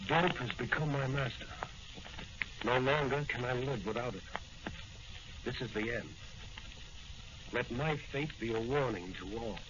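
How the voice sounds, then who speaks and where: A man's voice: mid-range voice, lightly acted. An actor playing an eager, brave young rookie patrolman and secret crimefighter in a 1940s radio drama style. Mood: determined. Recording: muffled, noisy old-time radio recording.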